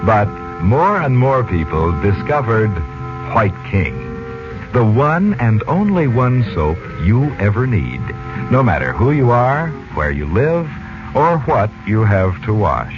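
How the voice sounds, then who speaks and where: A man's voice: lowish voice, sing-song, slow. A man announcing and reading an advertisement in polished, emphatic 1940s radio style. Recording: muffled old radio recording. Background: loud music.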